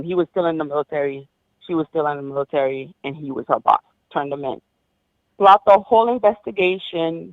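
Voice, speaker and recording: A woman of about forty, born in Haiti, calling in to a show and recounting her life in a halting way, full of ums and restarts. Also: sits low, no echo. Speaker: caller. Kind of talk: personal story on a call-in show. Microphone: phone. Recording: dull dry phone line.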